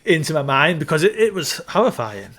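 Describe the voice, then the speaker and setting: A man, co-hosting a casual podcast and recalling things in a halting, circling way. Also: fairly high pitch, big pitch swings, fairly bright tone. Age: early 30s. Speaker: podcast co-host reviewing films. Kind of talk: casual chat, reminiscing.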